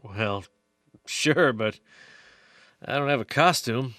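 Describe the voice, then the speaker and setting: A man playing an excited, nerdy investigator in a radio play, explaining theories earnestly with halting stammers. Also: mid-range voice, no echo, clean studio sound. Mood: relaxed, friendly.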